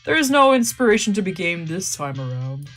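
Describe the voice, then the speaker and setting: A woman's voice: fairly low pitch, big pitch swings, fairly quick, fairly bright tone, faint music behind. Playful woman streamer reading game text. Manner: playful, laughs often, swears casually. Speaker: streamer playing a visual novel. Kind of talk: casual gameplay commentary and reading game text aloud.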